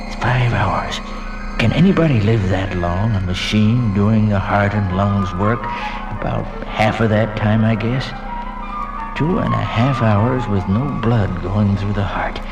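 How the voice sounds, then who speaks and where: An older man lost in anxious wonder in a vintage radio drama. The voice is fairly deep and very slow, musing in halting, repeated phrases. Loud music plays behind it. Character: awed, anxious older man marveling at modern surgery. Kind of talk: 1950s radio drama monologue.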